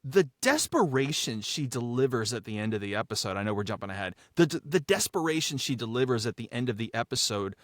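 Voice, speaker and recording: A man's voice: medium pitch, lively intonation, fairly quick, few pauses, fairly bright tone, no echo, studio quality. A man chatting casually and enthusiastically as a podcast creator, effusive and repeating phrases for emphasis. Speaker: show creator and writer. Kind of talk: casual behind-the-scenes podcast talk.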